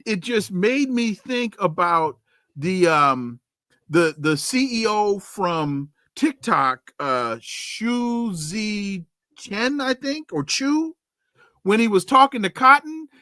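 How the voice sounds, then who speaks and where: A man's voice: high-pitched, pushed and bright, no room sound, clean studio sound. A man hosting a podcast interview, posing indignant rhetorical questions. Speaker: podcast host.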